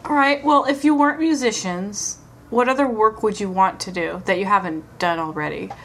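A woman's voice: mid-range voice, moderate pace, fairly bright tone, slight background noise. A woman hosting a radio interview, asking the guests friendly questions. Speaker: radio host. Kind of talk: casual interview.

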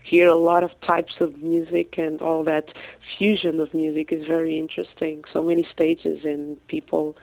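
A woman's voice: low-pitched, little pitch movement, moderate pace, gravelly, a little mellow, no room sound. A woman raised in Portugal, a singer, speaking hesitantly with many fillers in a phone interview. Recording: tinny phone line.

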